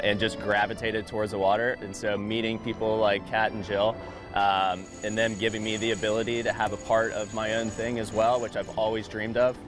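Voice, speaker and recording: A man in his thirties from the American Midwest, a sailboat captain talking casually outdoors. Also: on the low side. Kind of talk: casual on-camera interview. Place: outdoors at a marina. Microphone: lapel. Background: music.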